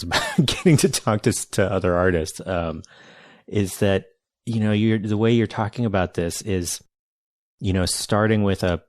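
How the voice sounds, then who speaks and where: A man's voice: deep voice, darkish tone, no echo, clean studio sound. A man hosting a podcast, speaking thoughtfully and searching for words. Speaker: podcast host. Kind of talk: casual interview chat.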